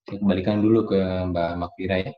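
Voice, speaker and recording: Guy w a real deep voice doing an informal science lecture, echoey room, kinda dull audio. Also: steady tone, fast talker. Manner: informal, explains with everyday analogies, often seeks confirmation. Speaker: geologist giving a lecture. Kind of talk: explanatory online lecture to an audience. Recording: roomy echo, dull lo-fi audio.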